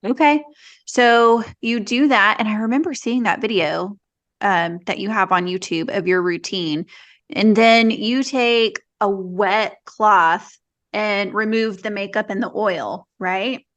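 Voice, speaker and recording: A woman's voice: medium pitch, slow pace, fairly bright tone. A woman hosting a podcast, asking curious follow-up questions in a casual chat. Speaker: podcast host, dentist.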